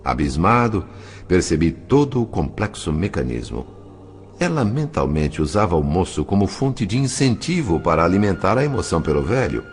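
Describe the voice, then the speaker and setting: A man narrating a dramatised audiobook in a measured, literary, expressive way. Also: low voice.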